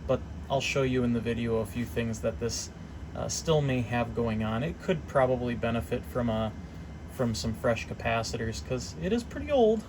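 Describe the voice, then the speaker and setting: A man giving a casual, unscripted equipment demonstration, thinking aloud in a halting way as he explains. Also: mid-range voice. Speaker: hobbyist repairer demonstrating test equipment. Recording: clear steady hum of background noise.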